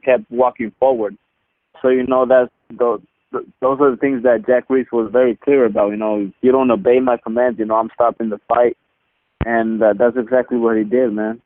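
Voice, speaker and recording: A man speaking as a boxer on a press call, answering casually and haltingly with repeated words. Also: mid-range voice, dry recording. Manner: halting, repeats words, peppers talk with "you know" and "man". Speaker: boxer being interviewed. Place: phone line on a teleconference. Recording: narrow muffled telephone conference line.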